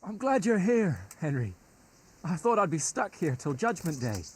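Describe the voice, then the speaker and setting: Brash young medieval scoundrel, high pitch, animated swings, coarse talk. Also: male voice, normal speed. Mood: aggrieved. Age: young adult. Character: cocky, foul-mouthed young medieval rogue. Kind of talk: naturalistic video game acting.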